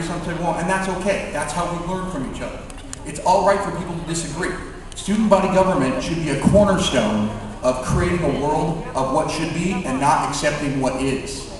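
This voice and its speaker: A man giving an informal, emphatic campaign speech to an audience as a self-assured student candidate. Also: on the high side. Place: large reverberant room at a public forum. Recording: echoey hall from far off, audience noise.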